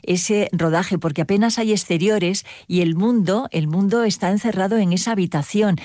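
An interviewer asking polite, measured questions. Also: medium pitch, a little mellow, dry recording, studio quality.

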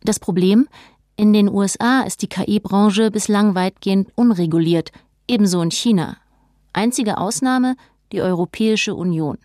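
A woman's voice: mid-range voice, moderate pace, darkish tone, no echo. The woman's voice you would hear carrying a radio feature from a small, dry narration booth, reading from a script. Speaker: narrator and reporter.

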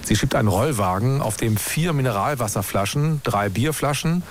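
A man's voice: medium pitch, animated, moderate pace, a little hiss or hum. A man with pronunciation hosting a podcast, reading scripted narration.

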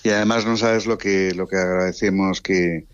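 A mature man from Spain speaks as an interview guest in a low voice with a Spain accent. He is conversational and halting, repeating words for emphasis, and the line sounds dull and band-limited. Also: fairly even intonation, smooth, dry recording. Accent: Spain Spanish. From Madrid or Murcia, Spain.

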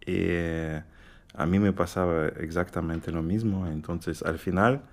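Man, very low voice, halting, searches for words, lots of fillers. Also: steady tone. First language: Russian. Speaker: guest pianist. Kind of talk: halting interview answers in a second language.

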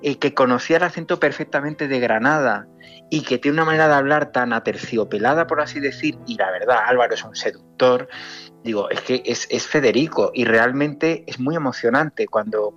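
A man with a Spanish accent speaks as an interviewed film director, in a middle-pitched voice. He explains things emphatically and conversationally, rephrasing as he goes. The sound is a little dull, with music playing behind him. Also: fairly bright tone. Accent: Spain. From Andalusia, Spain.

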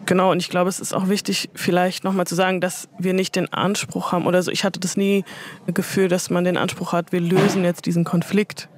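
A woman's voice: lowish voice, flat delivery, brisk. A woman answering interview questions thoughtfully, often qualifying her points with fillers. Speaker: interview guest.

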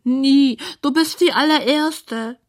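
A woman voicing a fussy, lonely pink female pig with a squeaky, theatrical voice for a children's bedtime story. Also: slow. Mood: sad, lonely. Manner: theatrical, indignant, self-important.

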